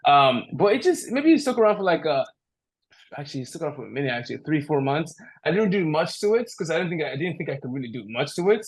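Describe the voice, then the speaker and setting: A man's voice: on the high side, big pitch swings, fast talker, slightly rough. A man from Nigeria chatting casually as an interview guest on a video call, easygoing and joking about himself. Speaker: powerlifter, podcast guest. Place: indoor room at home, video call. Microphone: video call, device at a short distance.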